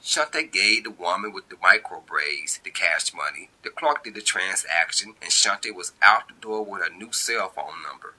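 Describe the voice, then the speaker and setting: Bright-voiced man reading a story, lively pitch. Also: moderate pace, hardly any pauses, rough recording. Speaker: amateur author reading own story. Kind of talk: scripted story narration.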